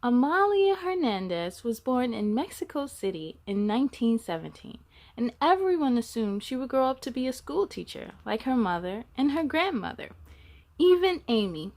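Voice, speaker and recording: A woman hosting a storytime, reading a picture book aloud to children in an animated way and speaking directly to young listeners. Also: high-pitched. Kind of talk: children's read-aloud with asides.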